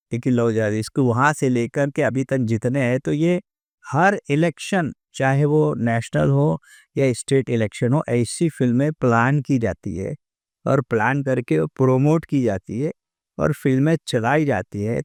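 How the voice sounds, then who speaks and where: An Indian man explains his views as a guest in a conversation. His voice is medium in pitch, very clean and resonant, with a dry, close sound. He is emphatic and circles back on key phrases. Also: darkish tone, no echo. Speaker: interview guest, author.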